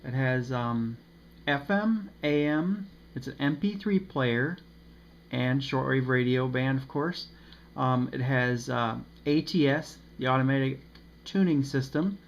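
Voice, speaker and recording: A man giving a casual, unscripted review of a radio, pausing often with ums and uhs. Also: medium pitch. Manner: hesitant, many ums and uhs. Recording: slightly dull with faint noise.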